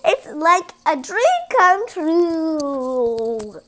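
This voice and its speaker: A woman's voice: very high voice, big pitch swings, extremely slow, a bit bright, pitched above the performer's own voice, exaggerated voice. A woman voicing a sweet, earnest young storybook princess with polite exclamations. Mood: grateful wonder.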